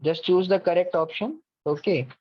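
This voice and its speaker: A man's voice: high voice, normal speed, slightly rough, no echo. A man walks students through an online course platform, patiently giving step-by-step instructions and often saying "okay". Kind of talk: online class platform walkthrough. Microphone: video call mic. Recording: dull lo-fi video call, dry.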